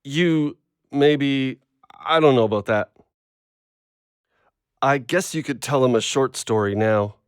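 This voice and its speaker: A man's voice: medium pitch, takes it slowly, long pauses, clear, resonant tone, no echo, studio quality, close to an everyday voice. A man playing a blunt, guarded, literal-minded dog owner in an audio drama, with an abrupt manner.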